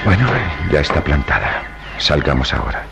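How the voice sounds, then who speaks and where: An actor playing a calm, devout priest detective in a radio drama, speaking in a measured, solemn and sententious way. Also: male voice, a bass voice, vocal fry, over loud music, full character voice. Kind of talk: old radio drama acting.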